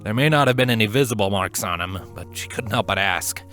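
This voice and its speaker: A man narrating scripted fan fiction in an earnest, dramatic storytelling manner with deliberate pauses. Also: on the low side, fairly bright tone, soft background music.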